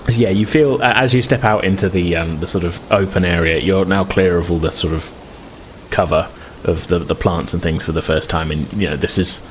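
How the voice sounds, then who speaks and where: Low-voiced man narrating a tabletop game. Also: normal speed. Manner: hesitant, groping for words. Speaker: game master. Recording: clear background noise.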